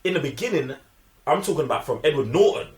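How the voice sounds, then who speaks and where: Emphatic, slangy London man, higher-pitched voice. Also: lively intonation, fast talker. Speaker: film review show host. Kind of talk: casual, opinionated group film discussion. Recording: roomy, echoing room sound.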